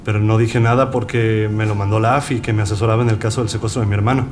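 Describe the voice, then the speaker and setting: A man's voice: fairly low pitch, steady tone, fast, noisy background, natural voice. An actor playing a male witness giving somber testimony in an audio docudrama. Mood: matter-of-fact, somber. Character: man testifying about his friend and murdered brother.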